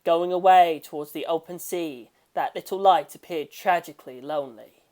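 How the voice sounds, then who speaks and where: A woman narrating a dramatic prose story, swelling emphatically at times and drawing phrase endings out into a hush. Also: lowish voice, moderate pace. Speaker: audiobook-style reader of a fan story.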